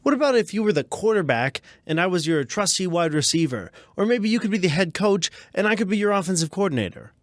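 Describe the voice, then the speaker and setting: A male co-host in a scripted radio comedy bit, picking at details with dry quips. The voice is fairly high and very animated, in a dry studio. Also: fast talker, few pauses, dry recording.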